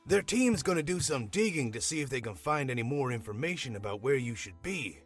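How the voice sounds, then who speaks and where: A man's voice: mid-range voice, big pitch swings, fairly quick, faint music behind. A man acting a friendly, teasing, reasonable shopkeeper in an audio drama. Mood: helpful. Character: friendly, teasing, reasonable male manga shop keeper.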